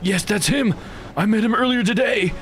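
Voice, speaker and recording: A man's voice: high voice, moderate pace, a bit bright, slight background noise, voice pushed up. A man voicing an urgent, distressed young man in a visual novel game. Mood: earnest. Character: young distressed man, son of a murdered father.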